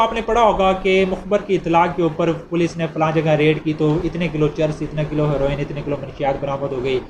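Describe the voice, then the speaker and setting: A man with a Pakistani accent teaches in a fairly high voice. He explains like a lecturer, conversational but deliberate whenever he reads out formal text. Clear noise runs behind him throughout the recording. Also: poor audio. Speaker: law lecturer. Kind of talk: explanatory lecture, reading statutes aloud and explaining them.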